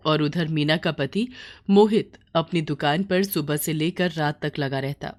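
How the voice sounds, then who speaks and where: A woman's voice: low voice, unhurried, a little mellow. A woman storyteller reading a scripted moral bedtime story.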